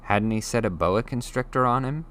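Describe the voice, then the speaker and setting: A man's voice: mid-range voice, moderate pace, fairly bright tone, clean tone. A man narrating an audiobook, reading scripted children's fantasy prose.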